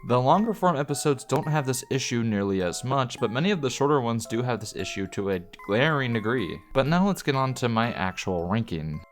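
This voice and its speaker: A man delivering a scripted, opinionated review as a video host, earnest and measured. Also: medium pitch, very animated, over music. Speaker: YouTube reviewer.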